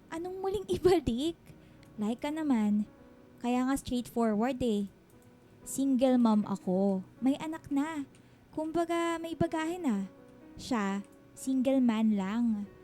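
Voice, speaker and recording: Radio drama single mom, Filipina in her thirties: high-pitched, mellow, reluctant, gently protesting, insecure. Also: lively intonation, slow pace, pauses often. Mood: demurring. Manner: demurring, self-deprecating, softly protesting. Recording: music bed under the dialogue.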